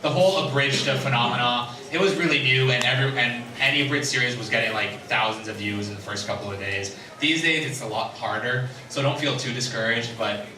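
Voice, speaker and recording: A man talks casually to a convention audience, giving advice and doubling back on his phrases. His medium-pitched voice is far from the mic, with echo and noise around it. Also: a little fast, hardly any pauses. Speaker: convention panelist. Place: convention panel room. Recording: echoey, distant, noisy panel room.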